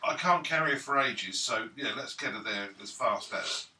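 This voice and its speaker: A blunt, matter-of-fact player speaks with a very bright, sharp tone. His voice comes from a distant mic in an echoey room, with faint background noise. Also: mid-range voice, lively intonation, normal speed. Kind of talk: casual tabletop game chat.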